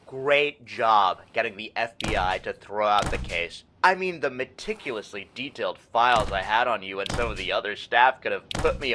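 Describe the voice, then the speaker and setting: A man's voice: mid-range voice, background noise, lightly acted. A man playing a smug, creepy corporate boss in a video game, falsely chummy and theatrical, with a menacing edge. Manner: over-friendly, theatrical, passive-aggressive. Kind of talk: video game character voice acting.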